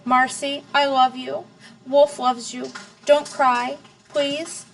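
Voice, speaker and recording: A woman reading aloud, voicing a timid, gentle four-year-old boy in a soft, pleading way. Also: sits high, flat delivery, slow pace, far from the mic, pitched above the performer's own voice, poor audio. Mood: comforting.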